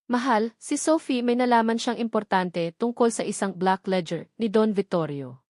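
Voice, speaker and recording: A narrator plays a concerned Filipino wife in an audio drama. She is a woman of medium pitch with an urgent manner, recorded dry. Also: expressive, moderate pace, a little mellow, no echo, close to an everyday voice.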